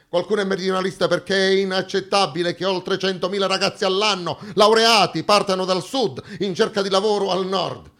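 Bright-voiced man delivering a theatrical monologue. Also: sits high. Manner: emphatic, declamatory. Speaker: stage actor performing a theatre monologue. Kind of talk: dramatic theatrical monologue, rhetorical repeated lines.

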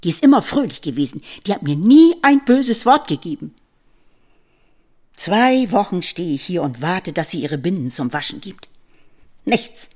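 An actress playing a gruff, commanding middle-aged working-class mother who scolds and accuses in a radio drama. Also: on the low side, big pitch swings, normal speed, dark tone. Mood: accusing, indignant. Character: scolding, suspicious working-class mother guarding her daughter. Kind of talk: German radio drama acting.

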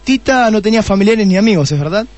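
An actor playing a shrewd, testy police detective in a radio play, with an Argentine accent and a deliberate way of questioning. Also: male voice, high-pitched, lively intonation, darkish tone, no room sound, faint music behind. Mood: probing, insinuating. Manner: deliberate, repeats answers back pointedly. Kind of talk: Spanish-language radio play acting.

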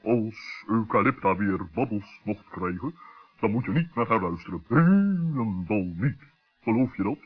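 A man voicing a grave wise owl, admonishing yet kindly, with a husky voice, in a children's radio play. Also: lowish voice, animated, unhurried. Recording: dull old radio recording.

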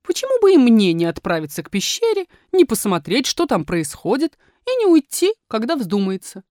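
A woman's voice: on the high side, huge pitch swings, normal speed, a little mellow, no room sound, studio quality. A woman voicing an aloof, independent, sly wild cat in a children's storybook, self-assured and singsong. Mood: sly, musing.

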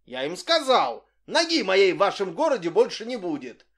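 A man voicing a small, boastful old tramp who shouts and hurries everyone along. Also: high-pitched, huge pitch swings, moderate pace, breathy edge, voice pushed up, heavily acted. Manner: shouting and bragging, hurrying others on.

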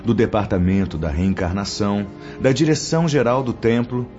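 A man's voice: lowish voice, normal speed, darkish tone, lightly acted. A male actor plays a solemn spirit mentor in a radio drama. He admonishes like an orator, stern and declamatory, pressing his points with rhetorical questions. The sound is dull and lo-fi, with faint music behind him. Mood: solemn, admonishing. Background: background music.

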